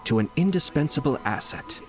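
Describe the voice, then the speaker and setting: An actor playing a shrewd, composed young male strategist in a video game, articulate, measured and formal. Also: a little high, expressive, natural voice. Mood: persuasive. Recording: game music behind the voice.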